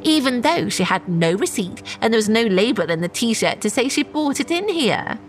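A wary, indignant woman who manages a shop, in an audio drama. Her voice is fairly high and very animated. She sounds earnest and uneasy as she justifies herself. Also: normal speed, soft background music. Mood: worried. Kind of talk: naturalistic audio drama acting.

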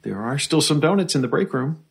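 An actor playing an earnest, patient government psychologist in an audio drama, raspy, placating and a little hesitant. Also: male voice, a little high, expressive, a little fast, soft background music, heavily acted. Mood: earnest, curious.

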